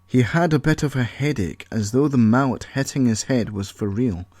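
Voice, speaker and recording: A man narrating an original audio story in an even, measured reading voice. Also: mid-range voice, no echo.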